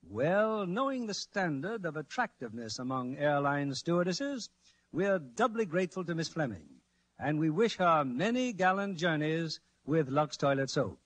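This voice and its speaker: Male 1940s radio host: high for a man, lightly husky, formal scripted delivery. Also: sing-song, moderate pace. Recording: vintage 1940s radio broadcast.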